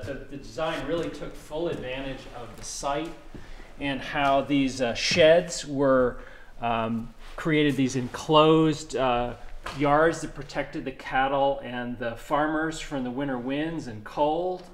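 A man in his thirties hosting a museum tour, asking questions and describing exhibits inside an old barn with a lapel mic. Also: medium pitch. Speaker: museum host. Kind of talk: guided tour interview. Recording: echoey barn room, faint noise.

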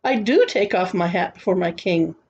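A woman's voice: lowish voice, lively intonation, normal speed, a little mellow, breathy edge, highs cut off. A woman voicing a timid, polite, bewildered peasant boy in a storybook, stammering nervously. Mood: politely nervous. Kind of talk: storybook character acting.

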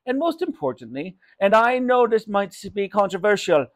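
Excitable, flattering storybook shopkeeper, acted by a man, high and swooping, exclaiming effusively. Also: moderate pace. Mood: excitable, emphatic.

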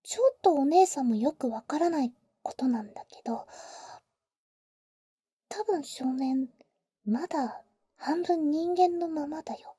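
A woman voicing a doting, teasing older-sister vampire in soft, breathy whispers for intimate roleplay ASMR, close to the mic. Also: high voice, lively intonation, no room sound. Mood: hushed, explaining. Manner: slow, tender, teasing, boyish casual phrasing. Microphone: close, dry ASMR microphone.

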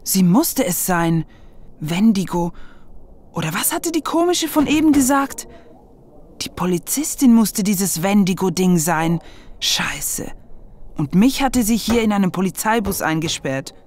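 A sardonic, swearing heroine. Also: female voice, mid-range voice, sing-song, unhurried, a little mellow. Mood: wry. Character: young woman, foul-mouthed, sceptical heroine. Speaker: narrator and protagonist.

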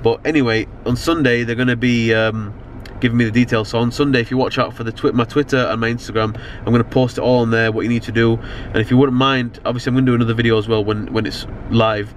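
A young man with a British accent talks off the cuff to his camera inside a car. His voice sits at a medium pitch and he rambles in loose slang, doubling back on his sentences. Clear car and street noise runs behind him. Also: level tone, fast talker, few pauses. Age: young adult. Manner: rambling, slangy, frequent "you know what I mean". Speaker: vlogger. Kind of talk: casual chat to camera. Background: car and outdoor urban noise.

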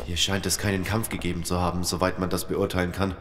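An actor plays a wary man surviving the zombie apocalypse in a radio play. His low voice is deliberate and questioning, with a long reverb and faint noise around it. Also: level tone, close to an everyday voice. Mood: suspicious. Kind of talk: audio drama acting.

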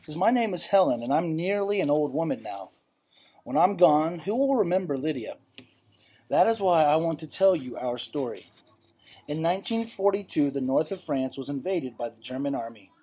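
A man reads a storybook aloud in an expressive way. His voice is a little high for a man and somewhat husky, with lively rises and falls as he tells the story. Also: normal speed. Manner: expressive storytelling with dramatized dialogue. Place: indoor classroom. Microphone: webcam or laptop at desk distance.

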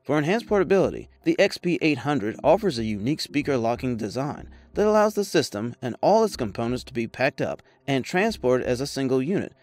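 Guy doing a scripted review voiceover, medium pitch, lively intonation, salesy polished read. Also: fairly quick, hardly any pauses, dry recording. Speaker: product review narrator. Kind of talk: scripted voice-over narration. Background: background music.

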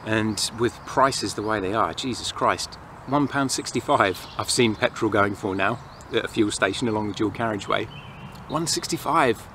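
English male vlogger, lowish voice, casual reflective monologue, clear outdoor background noise. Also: fairly even intonation, moderate pace, hardly any pauses, bright, forceful tone. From England. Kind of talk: casual rambling monologue to camera. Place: outdoors in a pine forest. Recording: noisy outdoor ambience, distant planes and traffic.

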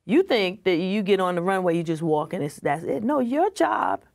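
A woman hosting a casual interview, animated and playful, laughing between lines as she asks questions. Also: medium pitch, normal speed, gravelly. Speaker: host.

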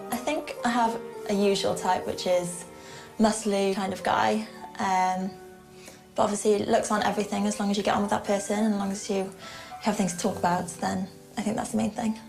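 A woman in her twenties from Cardiff keeps the conversation going in a pub with one question after another. Her voice sits at a middle pitch, with faint music beneath. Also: steady tone, brisk, creaky, darkish tone, rough recording. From Cardiff, Wales. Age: 20s. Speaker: dating show participant. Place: indoors in a pub.

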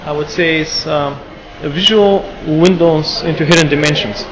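A man in his thirties from Bulgaria, with a Bulgarian accent, interviewed for a documentary in an art gallery. He speaks haltingly and pauses often to find his words. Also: on the high side. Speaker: artist. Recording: roomy, muffled, with steady room noise.

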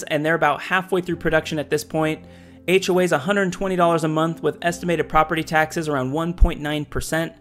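A man who works as a real estate agent, narrating a scripted update on new home prices and incentives in a steady, informative way. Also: a little high.

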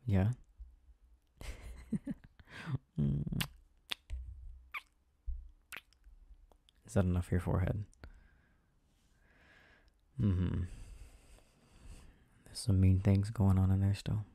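A man playing a gentle, affectionate boyfriend in a soft, intimate ASMR roleplay, speaking haltingly and reassuringly. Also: sits low, vocal fry, no echo, full character voice. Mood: playful, coaxing.

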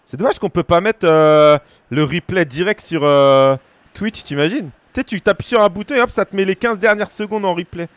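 A man in his thirties from France, streaming a football game and chatting casually with viewers, breaking into shouts at big moments. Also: high voice, normal speed, no room sound. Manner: excitable, shouts at goals, chats casually with viewers. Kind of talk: live gaming commentary to chat. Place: small room at home.

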